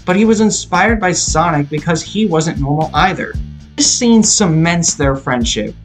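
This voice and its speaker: A man reading a scripted film review in an opinionated, explanatory way. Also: on the high side. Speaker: video reviewer. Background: background music.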